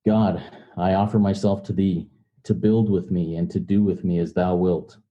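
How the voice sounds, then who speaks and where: A man's voice: deep voice, steady tone, moderate pace, warm tone. A man hosting a recovery meeting, speaking as the one who leads announcements and prayer, on dull lo-fi audio. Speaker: podcast host.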